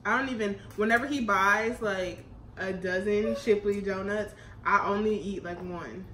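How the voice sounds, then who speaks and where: A woman's voice: medium pitch, normal speed. Chatty young woman in an echoey room. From Houston, Texas, US. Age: 20s. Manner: relaxed, chatty, often laughing. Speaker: YouTube co-host. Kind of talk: casual chat during a mukbang Q&A. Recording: echoey room with faint noise.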